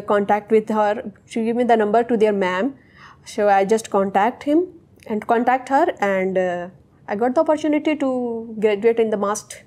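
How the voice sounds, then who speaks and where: A young woman whose first language is Urdu, answering interview questions in hesitant, halting English. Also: a little high, mellow. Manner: hesitant, searching for words. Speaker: interviewee, technical associate.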